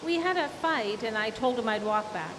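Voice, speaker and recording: Woman acting a shrewd schemer, high voice, echoey hall. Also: animated, normal speed, close to an everyday voice. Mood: wry, satisfied. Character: shrewd, scheming woman double-crossing her crooked lover. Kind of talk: old-time radio drama stage acting. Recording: noisy, echoey live hall recording.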